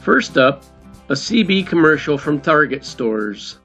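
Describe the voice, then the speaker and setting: A man's voice: on the high side, big pitch swings, measured pace, a bit bright, soft background music. A man narrating as the host of a video in a casual, scripted style. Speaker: host introducing vintage commercials. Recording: dull lo-fi voice recording.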